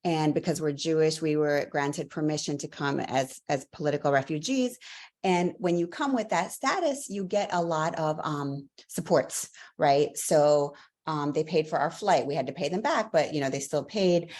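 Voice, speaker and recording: A woman's voice: low voice, moderate pace, a little mellow. A woman professor answering interview questions, telling her story fluently with a few fillers. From Latvia. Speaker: guest, professor.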